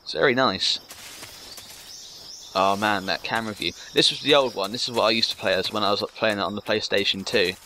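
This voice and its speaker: A low, bright male voice chats casually as he plays a video game, tossing in offhand asides. The home recording carries faint background noise. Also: a little fast. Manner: offhand, chatty, muttering asides. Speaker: let's play commentator.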